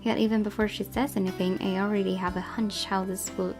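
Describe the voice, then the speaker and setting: A woman's voice: mid-range voice, little pitch movement, fairly quick. A woman reading a visual novel aloud as first-person narration. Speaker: gaming channel host reading aloud. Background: music.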